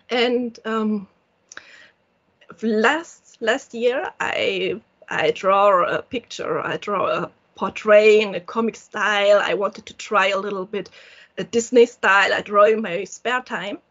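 A woman with a German accent, a solo game developer answering interview questions in halting English, often restarting her sentences. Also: mid-range voice, fairly bright tone, highs cut off. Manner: halting, searching for words, frequent restarts.

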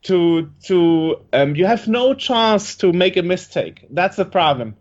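Man, high voice, inquisitive interviewer, dull lo-fi audio. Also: expressive, a little slow. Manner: inquisitive, questioning. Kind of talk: casual interview conversation.

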